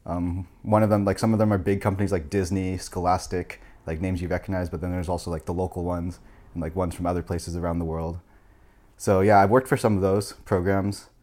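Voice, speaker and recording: Guest, young male, deep voice, fast, rambly casual talker with lots of fillers. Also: little pitch movement, a little mellow. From United States. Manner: rambling, heavy filler "like", chuckling. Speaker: podcast guest. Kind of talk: casual chat.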